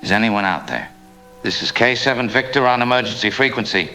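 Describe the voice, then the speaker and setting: An actor playing a trapped, despairing male survivor, anxious and halting as he calls out on the radio in a drama. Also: medium pitch, pushed and bright, faint music behind. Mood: urgent, pleading. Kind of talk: apocalyptic radio drama monologue.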